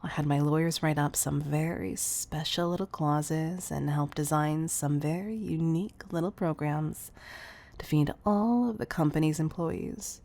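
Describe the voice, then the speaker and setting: A woman playing a wealthy, obsessive CEO in an intimate audio roleplay, speaking in a breathy, gravelly murmur that is affectionate and confessional. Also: deep voice, darkish tone, exaggerated voice. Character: wealthy obsessive female CEO, tender yet controlling. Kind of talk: intimate audio roleplay monologue, partly whispered.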